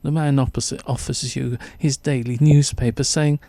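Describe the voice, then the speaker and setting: A man's voice: mid-range voice, steady tone, normal speed, vocal fry, mellow, no echo. A man narrating a suspenseful short story in the second person, with theatrical delivery.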